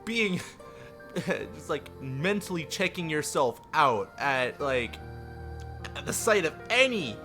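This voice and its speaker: A man with a fairly high, very bright voice reacts to a visual novel on a live stream. He is casual and animated, swears freely and makes fun of himself. Music plays behind him. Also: slow pace. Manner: animated, self-deprecating, profane.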